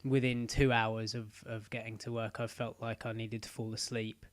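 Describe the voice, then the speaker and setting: A man co-hosting a podcast in casual chat, halting with many ums, still getting over an illness. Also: fairly low pitch, flat delivery, no echo. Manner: halting, lots of ums and uhs. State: recovering from illness. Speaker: podcast co-host.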